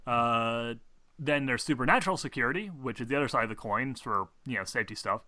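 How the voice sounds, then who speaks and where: Bright-voiced man explaining tabletop game rules. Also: medium pitch, fairly quick, dry recording. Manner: hesitant, rambling delivery with casual swearing. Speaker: game master.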